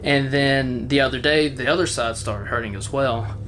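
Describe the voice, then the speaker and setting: A man co-hosting a podcast, rambling in casual chat with lots of fillers, his voice a little hoarse. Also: medium pitch, normal speed, fairly bright tone, a little hiss or hum, poor audio. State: voice slightly hoarse. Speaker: podcast co-host.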